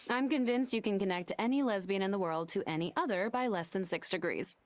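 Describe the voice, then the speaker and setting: A woman's voice: medium pitch, normal speed, no echo, natural voice. An actress playing an upbeat, sociable young woman farmer in a video game. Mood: amused.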